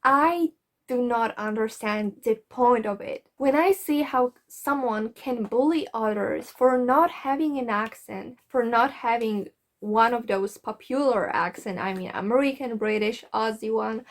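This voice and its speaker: A woman's voice: a little high, a little slow. A woman from Poland, a Polish native speaker with a Polish accent, giving frank, earnest language-learning advice straight to camera in a vlog. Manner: earnest, frank, occasionally swears when fired up. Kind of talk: direct-to-camera advice talk.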